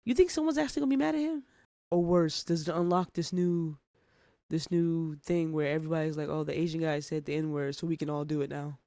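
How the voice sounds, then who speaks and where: A man's voice: a little high, brisk, vocal fry, mellow, no room sound, studio quality. A man giving casual reaction commentary as a host, informal and full of rhetorical questions.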